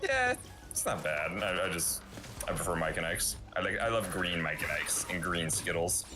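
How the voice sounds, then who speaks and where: Young man, very low pitch, casual livestream banter, co-host, music behind. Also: very animated, normal speed. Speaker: stream co-host. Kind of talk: casual stream chat.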